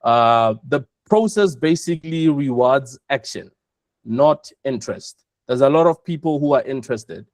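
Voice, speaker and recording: A man's voice: medium pitch, darkish tone, no echo. South African man hosting a webinar. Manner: measured, repeats points for emphasis. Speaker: webinar host, operations chief. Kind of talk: presenting an online webinar.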